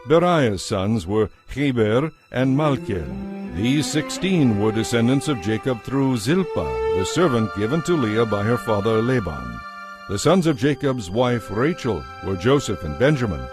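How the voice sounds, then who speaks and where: A man narrating scripture from a script in a measured, solemn manner. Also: medium pitch, sing-song. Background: music.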